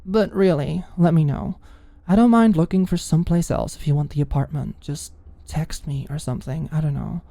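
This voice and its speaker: A woman's voice: deep voice, moderate pace, vocal fry, warm tone, no room sound. A woman voicing a cocky, flippant young woman with a mocking, teasing streak in an audiobook. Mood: grudgingly considerate. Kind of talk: audiobook character dialogue.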